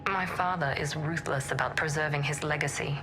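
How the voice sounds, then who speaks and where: Woman acting a low-voiced, determined operative. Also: brisk, noisy background, natural voice. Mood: tense, urgent. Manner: controlled, intense. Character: determined, guarded female operative on the run. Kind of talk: video game dialogue.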